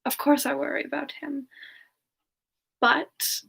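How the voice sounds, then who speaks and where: Woman acting as a affectionate siren entertainer, high-pitched, slow hesitant delivery, soft, giggly, semi-ASMR live chat. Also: level tone, vocal fry, full character voice. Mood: tender, earnest. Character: gentle playful siren entertainer, affectionate toward a partner.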